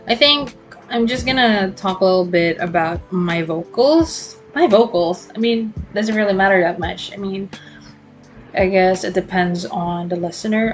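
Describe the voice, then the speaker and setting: A young woman, hosting a casual solo podcast, talking in a rambling, reflective way with many fillers and self-corrections. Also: fairly low pitch. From Philippines. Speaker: podcast host.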